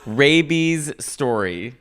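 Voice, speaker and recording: A man's voice: mid-range voice, lively intonation, drawn-out words, over a noisy crowd. A man hosting a comedy podcast, chatting casually with playful, campy, jokey banter.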